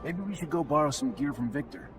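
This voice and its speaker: A man's voice: a little high, expressive, quick pace, over music, natural voice. A man playing a determined, idealistic warrior leader in a game cutscene, speaking earnestly and plainly. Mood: practical. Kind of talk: video game cutscene acting.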